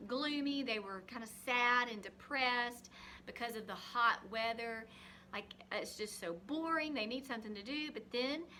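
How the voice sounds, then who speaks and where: A woman teacher reading a picture book aloud expressively to young children, pausing to ask guiding questions and explain things simply. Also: fairly high pitch, bright, forceful tone, a little hiss or hum.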